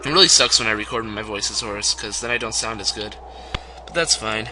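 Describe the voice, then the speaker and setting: Young man, lowish voice, hoarse, chatty let's play commentary, rambling, chuckling, noisy home recording. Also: fairly quick. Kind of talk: casual gameplay commentary. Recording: steady background noise.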